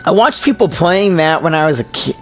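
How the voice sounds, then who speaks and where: A man's voice: fairly high pitch, expressive, a little fast, faint music behind. A man playing a game, reading dialogue choices aloud and adding playful commentary. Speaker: gameplay streamer.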